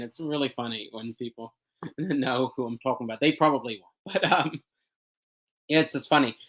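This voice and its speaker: A young man gives a candid interview answer, wandering through his points with fillers and restarts. He laughs often mid-sentence and pokes fun at himself. His pitch sits in a middle range for a man. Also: a bit bright, low-quality recording. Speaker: podcast guest. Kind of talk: candid personal interview talk.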